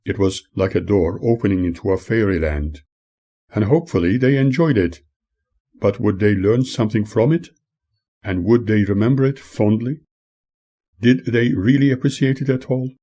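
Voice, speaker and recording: An older man reading his own memoir aloud in a measured, earnest way. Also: lowish voice, dark tone.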